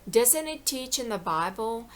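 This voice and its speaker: A woman's voice: on the high side, expressive, unhurried. A middle-aged woman in an impassioned personal monologue. She rambles and repeats herself, with many "you know"s, and pleads with her viewers. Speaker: vlogger.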